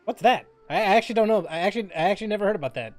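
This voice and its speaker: A man's voice: high voice, lively intonation, very fast, a little mellow, soft background music. A man commentating casually on a game as a streamer, jokey and often repeating his phrases.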